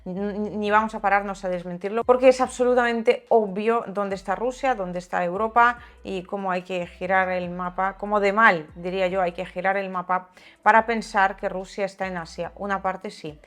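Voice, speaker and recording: A woman's voice: mid-range voice, steady tone, normal speed, fairly bright tone. A woman hosting a channel, giving opinionated, sarcastic political commentary in a conversational way, sometimes reading aloud. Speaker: video channel host and commentator. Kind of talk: political commentary to viewers, part read aloud.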